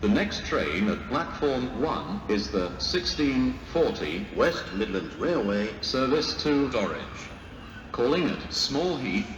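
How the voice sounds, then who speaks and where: A man's recorded voice making automated train announcements on a station platform, in a measured, clearly separated delivery. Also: medium pitch. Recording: station ambience, faint train noise.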